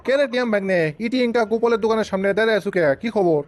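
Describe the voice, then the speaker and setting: A cheeky cartoon layabout uncle, acted by a man, high-voiced and quick. He boasts and grumbles in colloquial banter with a northern Bangladeshi accent. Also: dry recording, natural voice. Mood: grumbling, chatty. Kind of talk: comic cartoon dialogue in rural dialect.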